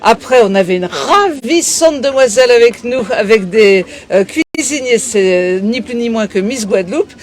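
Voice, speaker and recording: A woman's voice: fairly high pitch, lively intonation, moderate pace, hardly any pauses. A woman conducting an interview on location as the interviewer. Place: aboard a sailing boat in port. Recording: noticeable background noise on location.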